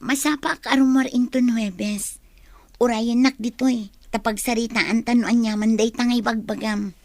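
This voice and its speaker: A woman's voice: on the high side, fairly even intonation, normal speed, no room sound, lightly acted. A woman playing a smitten, trusting young female student in a radio drama, with a coy, girlish manner. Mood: coy, curious.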